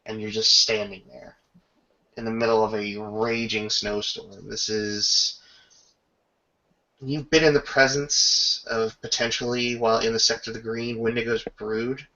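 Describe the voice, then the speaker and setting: A man acting as game master, narrating a tabletop roleplaying scene and its rules in halting, improvised phrases over a lo-fi voice chat. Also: on the low side, little pitch movement, sharp tone. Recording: dull lo-fi voice chat.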